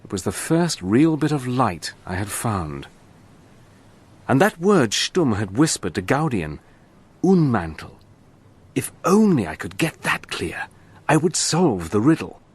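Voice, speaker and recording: A man's voice: medium pitch, sing-song, unhurried, husky. A man giving earnest, reflective first-person narration for a radio drama.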